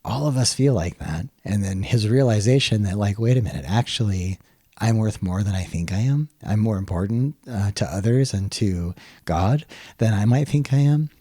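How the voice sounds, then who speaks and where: A man's voice: on the low side, normal speed, warm tone, slightly rough, dry recording, studio quality. A man co-hosting a podcast, talking in a reflective, earnest, conversational way. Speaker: podcast co-host. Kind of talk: conversational book discussion.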